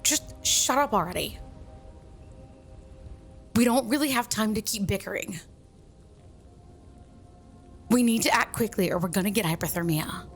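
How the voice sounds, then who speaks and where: A woman's voice: medium pitch, normal speed, pauses often, faint music behind, lightly acted. A woman playing a bossy, irritable mean girl in an ASMR roleplay, with an exasperated, scolding and sarcastic way of talking. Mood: annoyed, sarcastic. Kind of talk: ASMR roleplay audio drama.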